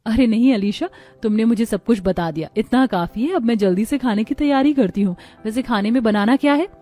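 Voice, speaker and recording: High-voiced young cartoon bride, acted by a woman. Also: brisk, dark tone, close to an everyday voice. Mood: eager. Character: young lazy, breezy daughter turned anxious new bride.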